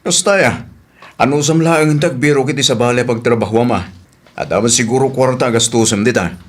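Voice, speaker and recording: A man's voice: mid-range voice, a little fast, lightly acted. A man playing a protective, indignant older brother in a radio comedy drama, plain-spoken and questioning. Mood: concerned.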